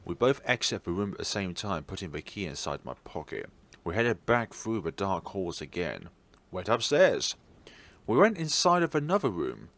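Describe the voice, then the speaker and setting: A man's voice: deep voice, sing-song, normal speed, fairly bright tone. A man hosting a let's play, reading a visual novel aloud theatrically and adding wry, playful asides. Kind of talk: playful gaming commentary and expressive read-aloud of a visual novel.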